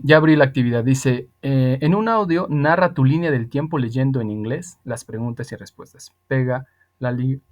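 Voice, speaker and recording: A man's voice: mid-range voice, darkish tone. Emphatic man teaching. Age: 30s. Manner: patient, repetitive, emphatic. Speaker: online English teacher. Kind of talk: explanatory tutoring, casual spoken instruction.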